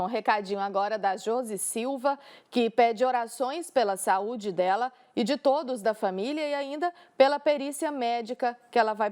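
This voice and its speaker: Tv co-host lady reading out viewer comments, friendly and clear, slightly high voice. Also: darkish tone. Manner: friendly and clear, reads messages aloud.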